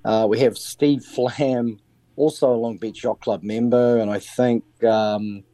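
A man's voice: mid-range voice, fairly even intonation, measured pace, dark tone, no echo. A middle-aged man from New Zealand with a New Zealand accent, a sailing skipper answering interview questions with many ums and restarts. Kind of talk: interview over the air.

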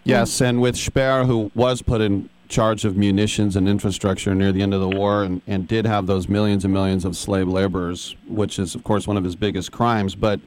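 A man's voice: lowish voice, flat delivery, moderate pace, hardly any pauses, a little mellow. A man hosting a radio interview, talkative and enthusiastic, asking long questions. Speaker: radio host. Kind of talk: interview conversation.

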